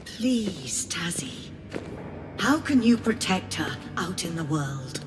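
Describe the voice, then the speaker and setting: Game villainess, inhuman would-be mother: low, unhurried, grave and possessive, under clear music. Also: sing-song. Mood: pleading. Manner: measured, solemn, stately, deliberate phrasing. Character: otherworldly female being, solemn, possessive, coldly tender, would-be mother. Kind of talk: dramatic video game voice acting. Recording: loud game music behind the voice.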